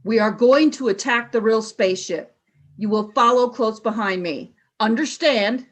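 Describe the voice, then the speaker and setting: A woman's voice: a little high, moderate pace, lightly acted. A woman playing a cold, ruthless alien warrior leader in a sci-fi radio play, terse and commanding.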